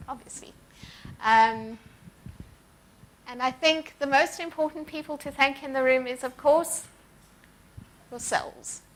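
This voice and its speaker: A woman organiser speaking on stage at a conference, thanking sponsors and introducing the keynote with frequent ums and pauses. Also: a little high, fairly bright tone, rough recording. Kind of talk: conference welcome address. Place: conference hall.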